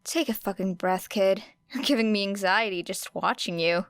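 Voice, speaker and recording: A woman's voice: medium pitch, normal speed, clean tone, no room sound, clean studio sound, lightly acted. A woman voicing a jaded, blunt teenage girl with a weary manner in an audiobook story. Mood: exasperated. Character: jaded, blunt, foul-mouthed teenage girl exam applicant.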